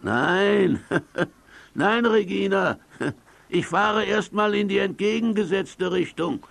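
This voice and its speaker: A man's voice: sits high, expressive, takes it slowly, vocal fry, a bit bright, no echo, heavily acted. A man playing a bumbling but kind-hearted sidekick in a children's audio drama, hesitant and simple-minded. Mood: cheerfully sly.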